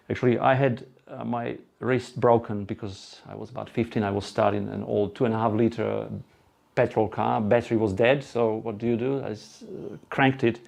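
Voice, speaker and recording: A man with a Slovak accent giving an informal, chatty talk to a live group, halting now and then for asides. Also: lowish voice, creaky, a little mellow. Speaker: presenter at an electric car group event. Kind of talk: live presentation to a small audience.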